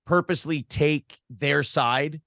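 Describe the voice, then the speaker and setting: Higher-pitched man in blunt podcast chat. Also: slow pace, gravelly, sharp tone, no echo, clean studio sound. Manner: blunt, profane. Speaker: podcast host being interviewed. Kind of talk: casual opinionated chat.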